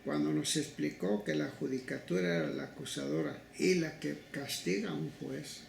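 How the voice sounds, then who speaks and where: An older man with a Mexican accent, recounting past cases in an interview in a slow, halting, deliberate way. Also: on the high side, vocal fry. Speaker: interviewee, human rights legal advocate. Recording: echoey room with faint noise.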